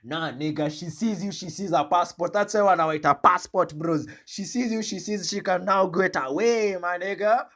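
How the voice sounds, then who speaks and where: A man with a Kenyan accent hosting a reaction video, commenting in an animated, joking way and switching between slang and English. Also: sits high, moderate pace, few pauses. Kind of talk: commentary on a played clip.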